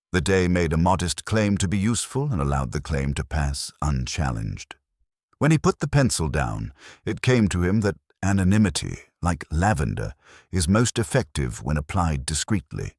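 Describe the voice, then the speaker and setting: A man reading a cosy literary mystery as a scripted audiobook narration, in a measured, dryly wry and understated manner. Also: very deep voice, breathy edge, dry recording. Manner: dry, measured, wryly understated.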